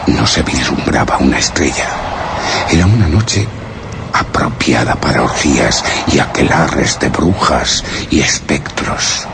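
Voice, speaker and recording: A man's voice: very deep voice, animated, measured pace, creaky, background noise, exaggerated voice. An actor from a radio horror play, with a Spanish accent from Spain, playing a grave narrator who recounts his expedition in solemn, theatrical, ornate phrases. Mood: ominous.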